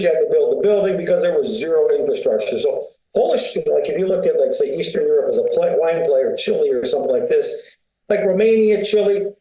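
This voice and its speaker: A man's voice: fairly high pitch, mellow, reverberant, dull audio. A man, telling long rambling stories as a podcast guest, full of fillers and asides. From United States. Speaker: winery founder, guest. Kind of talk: casual interview talk.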